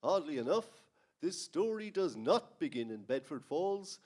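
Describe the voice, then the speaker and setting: A male announcer narrates in old-time radio fashion. His voice is high for a man and very animated, delivering scripted introductions and storytelling. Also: unhurried.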